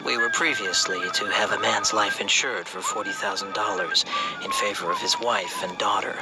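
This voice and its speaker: A man playing a 19th-century swindler who calmly confesses his crimes in formal, measured, old-fashioned language, as a dramatised reading. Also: over loud music. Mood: calculating, matter-of-fact. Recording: very noisy old-recording hiss and rumble.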